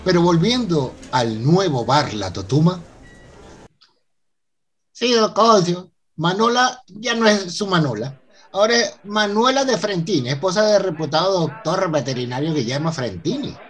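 A Venezuelan actor playing a polite, earnest professor in a radio soap opera, explaining things with hesitant stammers. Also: male voice, high-pitched, animated, a little slow, a bit bright, slightly rough, heavily acted. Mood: informative, hesitant. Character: polite, earnest university professor and new son-in-law.

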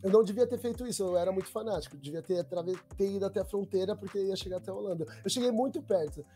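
Slangy São man, mellow tone. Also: high voice, racing, faint music behind. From Brazil. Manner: slangy street talk, relaxed. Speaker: documentary maker, guest. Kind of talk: casual storytelling chat.

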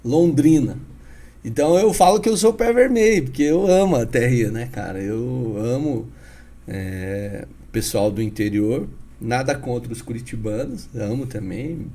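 A Brazilian man from the interior of Paraná, telling his life story as a podcast guest in a lively, chatty way, peppered with "cara" and "né". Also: medium pitch, unhurried. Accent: Paraná interior Brazilian Portuguese. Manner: animated, chatty, frequent "cara" and "né".

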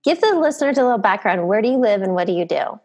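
Woman, podcast host, motivational, even-pitched. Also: fast talker. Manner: upbeat, motivational. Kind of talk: scripted intro, then interview questions.

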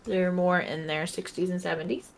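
A woman's voice: lowish voice, moderate pace. Woman, podcast co-host, casual chat, rambling, giggly, tipsy, tired. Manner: rambling, giggly, lots of fillers. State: tipsy and socially drained.

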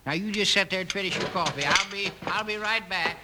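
A man's voice: high voice, fairly quick, lightly acted. A man playing a mischievous storekeeper in his eighties in a 1950s radio Western, talking in a folksy, rambling way. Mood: folksy helpful. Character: cackling old storekeeper prankster of eighty-three. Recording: noisy old radio broadcast.

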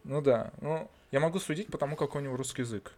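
A man's voice: mid-range voice, fast. A man from Russia in casual chat, talking bluntly and swearing often. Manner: swears a lot, animated and blunt. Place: lives in Israel.

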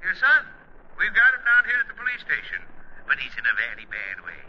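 A man playing an excited, officious policeman calling by telephone, with a husky voice, in 1940s radio comedy. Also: sits high, a little fast, dull audio, a little hiss or hum. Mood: urgent.

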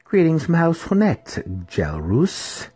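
Casting: scheming dark elf matriarch played by a male narrator, raised voice, haughty menace, fantasy audiobook, very dry sound. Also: fairly high pitch, wildly swooping pitch, slow pace, breathy edge, no room sound, voice pushed up, full character voice. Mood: haughty greeting. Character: imperious, scheming dark elf matron priestess, female.

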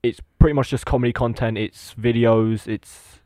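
A man's voice: mid-range voice, steady tone, warm tone, no echo. Casual late-teen guy, dry close sound. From Lithuania, living in England. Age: 17, late teens. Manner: informal, halting, repeats himself. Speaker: podcast guest, meme page owner. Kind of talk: casual chat, informal storytelling.

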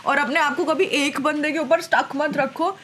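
A woman, co-hosting a podcast in a lively casual chat, laughing often and switching between Hindi and English. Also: sits high, moderate pace, a little hiss or hum. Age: 20s. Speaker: podcast co-host.

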